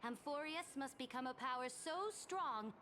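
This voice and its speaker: An actress playing a proud, youthful empress in a video game, speaking in formal, haughty rhetoric about power and conquest. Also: female voice, high-pitched, moderate pace, pushed and bright, slight background noise. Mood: scornful, defiant. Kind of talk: scripted video game voice acting.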